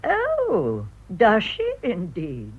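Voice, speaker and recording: An actress playing a sharp-tongued, stubborn older village woman with an English accent, imperious and sardonic, in a radio drama. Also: mid-range voice, huge pitch swings, drawn-out words, husky, exaggerated voice. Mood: dry. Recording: dull, band-limited radio drama recording.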